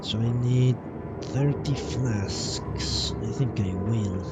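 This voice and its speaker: A man's voice: mid-range voice, very slow. A man giving casual live game commentary, muttering to himself and swearing freely. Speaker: game streamer. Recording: loud game music behind voice. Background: game music and sound.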